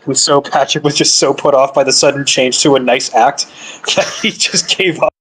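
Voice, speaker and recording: A man's voice: mid-range voice, steady tone, normal speed, a bit bright, slightly rough, poor audio. A man chatting casually on a podcast, laughing often and restarting his sentences.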